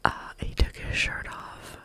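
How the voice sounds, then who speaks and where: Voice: unhurried, pushed and bright. A young adult whispering a rambling story in a casual way, full of fillers like "like" and "um". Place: staying on a chocolate farm in Hawaii.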